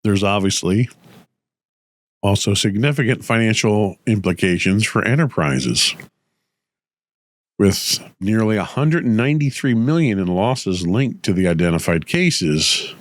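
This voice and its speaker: A man hosting a tech news podcast, delivering measured, explanatory commentary with a voice slightly rough from a cold. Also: fairly low pitch, expressive, dry recording, studio quality. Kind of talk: news commentary, partly read from script.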